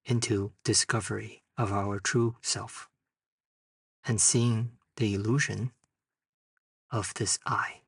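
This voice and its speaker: A man leading a guided meditation, speaking in short, unhurried phrases with long pauses. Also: mid-range voice, breathy edge, clean studio sound. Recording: distant mic in a roomy, echoing space.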